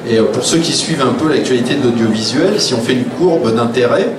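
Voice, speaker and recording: A man's voice: medium pitch, fairly quick, crowd noise. A man speaking informally as an author on a panel, telling anecdotes in a blunt, wry way and acting out quoted remarks. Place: public round table in a room. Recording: roomy, distant live recording with clear noise.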